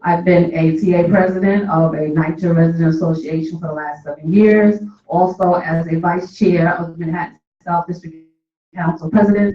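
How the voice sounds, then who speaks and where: Formal man speaking in an echoey room. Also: sits high. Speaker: board officer candidate, educator and civic leader. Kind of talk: formal prepared campaign speech. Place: indoor public meeting room. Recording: echoey, distant, dull room recording.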